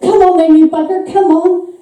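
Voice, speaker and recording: A woman's voice: extremely high pitch, steady tone, moderate pace, mellow, voice pushed up. A woman voicing a frightened, bitter old male farmer, calling out defiantly and desperately in a live story reading. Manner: growling, defiant, desperate calls. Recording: echoey hall, distant microphone.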